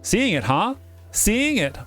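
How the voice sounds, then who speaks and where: A man's voice: high voice, big pitch swings, slow pace, a bit bright, faint music behind. A man doing a casual let's-play, reading game narration aloud and joking about the text as he goes. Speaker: game streamer reading a visual novel aloud.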